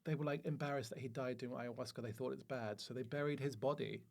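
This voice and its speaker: A man's voice: medium pitch, fairly even intonation, fast, gravelly, darkish tone. A man telling casual comic anecdotes as a podcast guest, in a gossipy, camp manner. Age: 30s or older.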